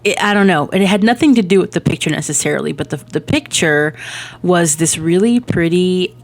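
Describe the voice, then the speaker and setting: A woman in her thirties, hoarse from a cold, chatting casually in a rambling way with lots of ums and little laughs as she narrates a game build. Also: on the low side, expressive, a little fast. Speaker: Sims speed-build creator. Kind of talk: casual voice-over chat over gameplay. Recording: soft background music.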